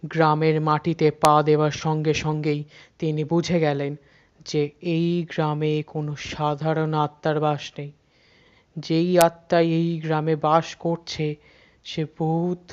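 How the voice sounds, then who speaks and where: A horror story narrator speaks in a very monotone voice of middling pitch. The delivery leans on suspenseful pauses and repetition. The recording is dull and slightly muffled. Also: clear, resonant tone. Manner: dramatic pauses and repeated words for suspense.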